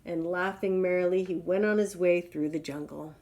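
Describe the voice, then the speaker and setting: A woman's voice: fairly low pitch, steady tone, moderate pace, darkish tone. A woman storyteller reading a children's tale aloud in a dramatic, emphatic way.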